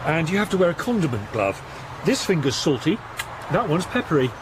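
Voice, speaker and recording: A man's voice: a little high, very animated, brisk, background noise. An actor playing a muddled, dim but amiable man, bumbling and hesitant, in a radio comedy drama. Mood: dreamy, pleased.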